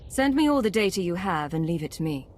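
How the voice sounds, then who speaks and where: An actress playing a self-reliant secret agent in a video game, controlled, dry and terse. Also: female voice, lowish voice, very animated, fast, darkish tone. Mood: cool, composed. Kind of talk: video game cutscene acting.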